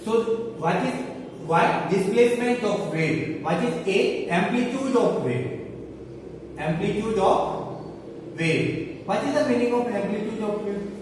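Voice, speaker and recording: Indian guy teaching a class, highish voice, keeps asking questions and repeating himself, really echoey room w faint noise. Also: lively intonation, a little slow, distant mic. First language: Hindi. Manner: repetitive, emphatic, questioning. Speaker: physics teacher. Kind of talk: classroom lecture with rhetorical questions. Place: reverberant indoor room.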